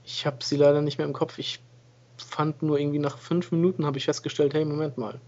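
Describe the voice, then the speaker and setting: A man's voice: fairly high pitch, brisk. A man co-hosting a gaming podcast, chatting in an easygoing way and often correcting himself. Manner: flat, easygoing, self-correcting. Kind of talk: casual game review chat. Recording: dull lo-fi home recording.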